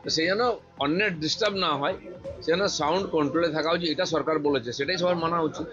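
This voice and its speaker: A man's voice: on the high side, very fast, fairly bright tone, soft background music. A man with a Bengali accent making an emphatic, rhetorical public statement in a slightly dull-sounding clip.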